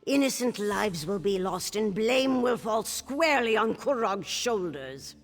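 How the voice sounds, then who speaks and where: An actress playing a shrewd, calculating older orc queen mother in a video game, measured and authoritative. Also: medium pitch, animated, faint music behind. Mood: cool, calculating. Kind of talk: video game character acting.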